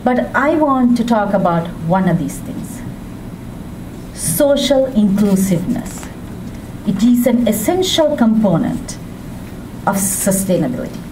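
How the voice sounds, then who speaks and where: A woman from Sri Lanka giving a public speech as a women's rights advocate, with a measured, deliberate delivery and emphatic rises. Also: mid-range voice, sing-song. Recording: distant mic with background noise.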